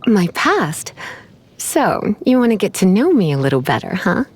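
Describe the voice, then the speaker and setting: Sultry, teasing sorceress, lilting voice. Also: female voice, mid-range voice, sing-song, breathy edge. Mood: teasing, musing. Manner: sultry, teasing, unhurried, coy. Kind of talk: video game character voice lines.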